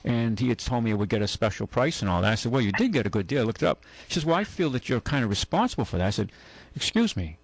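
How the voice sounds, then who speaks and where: A man with a gravelly, creaky voice hosts a talk radio show. He tells long, meandering stories, stumbles over restarts and acts out other people's lines. The sound is dry and close. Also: mid-range voice, big pitch swings, fast talker, hardly any pauses, dry recording, rough recording. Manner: rambling anecdotes with many restarts and acted-out quotes. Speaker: radio show host and car dealer. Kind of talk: casual on-air storytelling. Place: radio studio.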